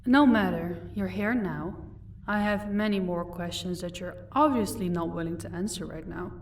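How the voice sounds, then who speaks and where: A woman's voice: lowish voice, moderate pace, lightly acted. A woman playing a powerful, commanding vampire lady in an audio roleplay, taunting and condescending with composure. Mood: cool, commanding. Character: powerful female vampire, mocking, commanding, married lady of an estate. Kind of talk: audio roleplay acting addressed to the listener. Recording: distant microphone, faint background noise.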